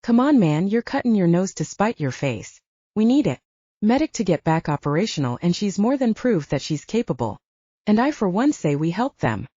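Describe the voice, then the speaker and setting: A woman playing an indignant male soldier, very lively pitch. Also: normal speed, no room sound, dull audio. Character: outspoken, protesting young male werewolf soldier, defends his friends.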